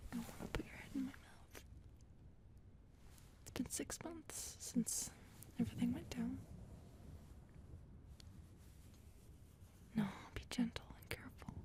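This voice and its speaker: A woman voicing an affectionate, playful female alien lover in an intimate audio roleplay, speaking softly and breathily with a teasing manner. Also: fairly high pitch, lightly acted. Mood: tender, teasing. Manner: soft, breathy, teasing whispering.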